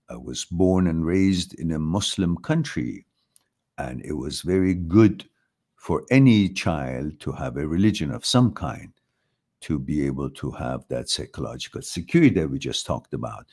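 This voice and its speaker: Very deep-voiced older Iranian man. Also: darkish tone, breathy edge. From Iran. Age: 60s. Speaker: host of a live talk, answering viewer questions. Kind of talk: informal philosophical talk.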